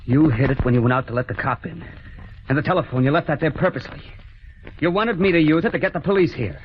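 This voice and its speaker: A man's voice: on the high side, fast talker, a little hiss or hum, lightly acted. An actor playing an earnest, trapped young man in a 1940s radio drama, often breaking off mid-sentence. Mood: accusing. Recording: muffled vintage radio, music behind.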